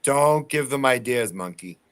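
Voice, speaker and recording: A man's voice: mid-range voice, animated, unhurried. A man from Boston with a Boston accent, bantering and teasing in a casual livestream chat.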